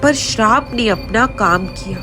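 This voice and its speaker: Slow, high-voiced woman storyteller. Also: rough recording. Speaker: story narrator. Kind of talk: dramatic scripted narration of a moral folk tale. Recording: faint music behind the voice.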